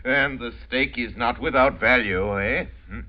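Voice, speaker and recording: An actor playing a suave, sinister aristocratic general who hunts men, urbane and mock-courteous, in 1940s radio drama style. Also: male voice, medium pitch, slow pace, lightly acted. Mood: gleeful, relishing. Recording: muffled old radio recording.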